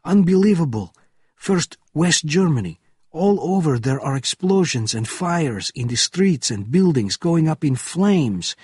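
Man acting a panicked guard officer, high frantic shouting. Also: lively intonation, a little slow, husky, dry recording, studio quality. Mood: alarmed, disbelieving. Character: panicked gatehouse officer screaming about fires and bombs.

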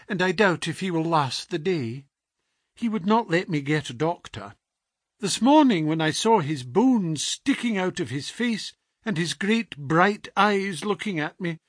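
A man's voice: fairly high pitch, big pitch swings, measured pace, no room sound, clean studio sound, pitched above the performer's own voice. A man voicing a fretful old landlady. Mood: anxious pleading. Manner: urgent, pleading. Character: worried elderly landlady, anxious and pleading.

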